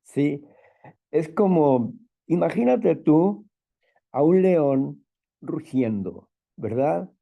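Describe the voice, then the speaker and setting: A man with a strong, loud voice who sounds stern without being angry, leading a spiritual talk and prayer. He repeats phrases and often checks in with "¿no?" and "¿verdad?". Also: on the high side, animated, very slow, gravelly, long pauses, muffled recording. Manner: fervent prayer calling on the Father. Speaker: group leader.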